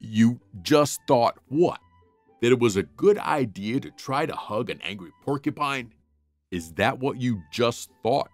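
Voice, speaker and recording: A man voicing a gruff, world-weary, protective big dog in a comic dub, with a dry, sarcastic, deadpan manner. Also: mid-range voice, unhurried, dry recording, faint music behind, lightly acted. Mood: sarcastic, irritated. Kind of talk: animated comic dub acting.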